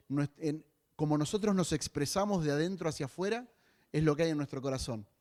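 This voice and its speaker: A man's voice: fairly high pitch, darkish tone. A man with a Rioplatense Spanish accent preaching a sermon as a pastor, in a conversational way with joking asides.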